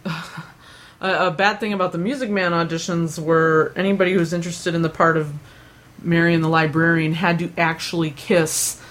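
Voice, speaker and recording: A woman's voice: fairly low pitch, little pitch movement, moderate pace, fairly bright tone. A young woman rambling through casual stories, with lots of fillers, restarts and self-deprecating asides. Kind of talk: casual chat.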